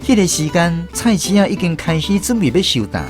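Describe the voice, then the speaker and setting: A man's voice: high voice, sing-song, a little fast, music in the background. A man with a Taiwanese accent narrating a scripted first-person story as the narrator of a radio drama.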